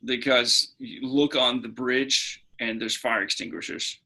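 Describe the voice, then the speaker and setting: Man, medium pitch, bright edge, husky, podcast co-host, casual chat. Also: fairly even intonation, a little slow, low-quality recording.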